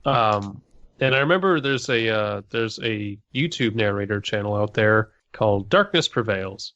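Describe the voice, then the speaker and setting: A man's voice: fairly low pitch, expressive, normal speed, fairly bright tone. A man co-hosting a podcast, giving blunt, sweary opinions in casual discussion. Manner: blunt, profane. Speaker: podcast co-host. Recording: slightly dull, lo-fi microphone sound.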